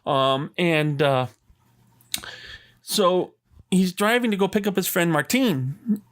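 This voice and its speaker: A man's voice: high-pitched, slow pace. A man hosting his own podcast, ranting in a rambling, enthusiastic way full of fillers and asides. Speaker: podcast host. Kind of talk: casual solo podcast rant. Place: Arizona.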